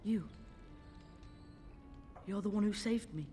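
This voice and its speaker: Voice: mid-range voice, slow pace, dark tone, close to an everyday voice. An actor playing a polite, worried young boy of noble birth in a fantasy video game. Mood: grateful, worried. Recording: long echoing reverb, music behind. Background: game music.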